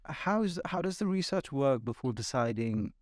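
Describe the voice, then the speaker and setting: A man's voice: mid-range voice, lively intonation, normal speed, dry recording, studio quality. A man hosting a podcast, asking questions and commenting conversationally. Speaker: podcast host. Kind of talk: conversational interviewing.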